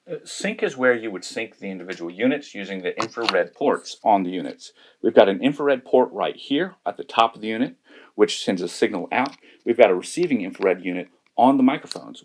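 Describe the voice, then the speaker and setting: A man giving a methodical, step-by-step hands-on demonstration of audio equipment. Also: fairly low pitch. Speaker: tech reviewer demonstrating gear.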